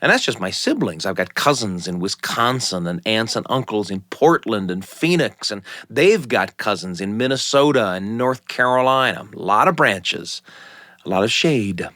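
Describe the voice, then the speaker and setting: A man hosting a story program, narrating in a measured, deliberate storytelling manner with dramatic pauses. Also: fairly low pitch, expressive, pushed and bright, no room sound, clean studio sound. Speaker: radio show host and storyteller. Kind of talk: scripted narration and hosted chat.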